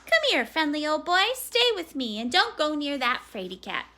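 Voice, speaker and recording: Storybook part: earnest, boyish kid acted by a woman, emphatic and eager, high pitch with lively swings. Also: normal speed, full character voice. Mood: scornful. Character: eager, earnest young boy, scornful of fraidy cats.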